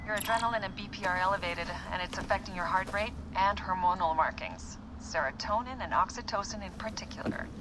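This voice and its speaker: A woman's voice: mid-range voice, fairly quick, few pauses, close to an everyday voice. An actress playing a cautious, worried modern medical assistant in a video game, conversational and fretful, with noise behind her. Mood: concerned. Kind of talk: naturalistic video game acting. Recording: background ambient noise.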